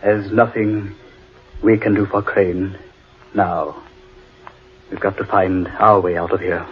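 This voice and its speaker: A man's voice: low voice, little pitch movement, takes it slowly. An actor playing an insistent, practical man leading lost companions in a 1940s radio horror drama, with emphatic delivery. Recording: muffled old radio recording.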